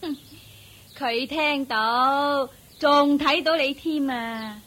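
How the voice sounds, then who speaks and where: An actress playing a bitter, disdainful wife fed up with poverty, scolding in Hong Kong Cantonese in a radio drama. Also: female voice, high voice, very slow, exaggerated voice. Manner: scolding, sharp-tongued.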